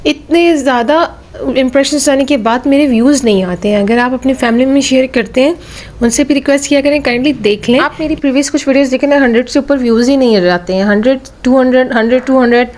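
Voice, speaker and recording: Higher-pitched Pakistani woman vlogger, sore throat. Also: fast talker, darkish tone. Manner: chatty, repeats phrases for emphasis. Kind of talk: casual vlog commentary with English phrases mixed in.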